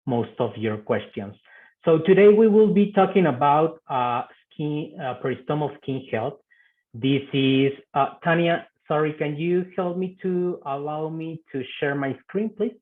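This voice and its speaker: Spanish-accented man on a tinny call. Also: fairly high pitch. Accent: Mexican Spanish. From Mexico. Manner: halting, many "uh" fillers and repeated words. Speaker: guest speaker, physician. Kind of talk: online medical presentation. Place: video call. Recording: tinny phone-like video call audio.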